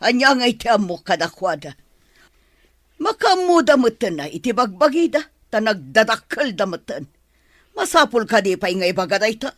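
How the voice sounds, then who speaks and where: A woman's voice: fairly high pitch, big pitch swings, normal speed, no echo, clean studio sound. Woman acting a scolding, swooping older matriarch. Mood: nagging. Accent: Ilocano. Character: stern, scolding older mother-in-law, the household matriarch. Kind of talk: Ilocano radio-style drama acting.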